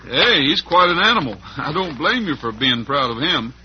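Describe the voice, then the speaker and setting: An actor playing a steady, good-natured cowboy rancher hero, folksy and plain-spoken, in 1940s radio western style. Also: male voice, on the high side, moderate pace, very noisy. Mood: calm, probing. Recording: muffled old radio recording.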